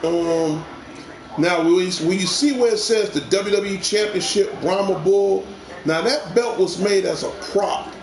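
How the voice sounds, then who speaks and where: A man's voice: high voice, measured pace, darkish tone. A man hosting a live game stream, rambling and repeating himself as he talks to viewers. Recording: echoey room with clear background noise.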